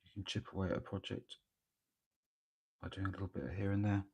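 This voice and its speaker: Croaky, gravelly man with a cold. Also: deep voice, level tone, fairly quick, darkish tone. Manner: rambling, hesitant, with many ums and restarts. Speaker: miniature painter streaming live. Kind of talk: casual live-stream chat while working. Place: home workspace, early morning. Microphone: microphone near the speaker.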